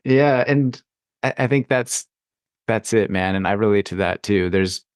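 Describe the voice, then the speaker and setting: A man hosting a casual, reflective conversation, speaking thoughtfully with pauses in the middle of his phrases. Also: fairly low pitch, clear, resonant tone, no room sound, studio quality. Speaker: podcast host.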